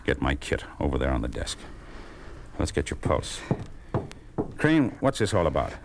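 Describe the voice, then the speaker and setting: A man's voice: very deep voice, huge pitch swings, normal speed, heavily acted. An actor playing a blunt, principled male surgeon with clipped, commanding delivery in a 1950s radio drama. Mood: urgent, caring. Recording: noisy old 1950s radio recording.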